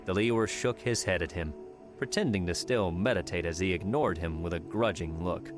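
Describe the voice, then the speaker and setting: A male audiobook narrator reads scripted fiction in a fairly low voice. Music plays clearly behind the narration. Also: normal speed.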